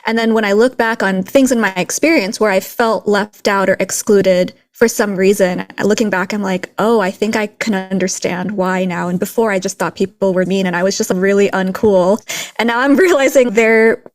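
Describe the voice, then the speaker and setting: A woman raised in a Texas border town, co-hosting a podcast in a relaxed, reflective way with light laughs. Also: mid-range voice, normal speed, no room sound. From Philippines, raised in a Texas border town. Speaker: podcast co-host. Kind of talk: casual conversational podcast chat.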